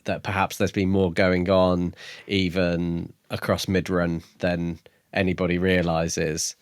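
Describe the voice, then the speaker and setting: A man's voice: deep voice, little pitch movement, a little slow. A man co-hosting a podcast, talking casually and musing his way tentatively through ideas. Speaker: book podcast co-host. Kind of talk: casual discussion.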